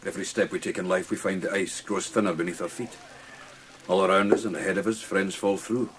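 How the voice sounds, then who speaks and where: An actor playing an older Scottish doctor, dry, measured and teasing among old friends, in a radio drama. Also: male voice, deep voice, steady tone, a bit bright, rough recording. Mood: reflective.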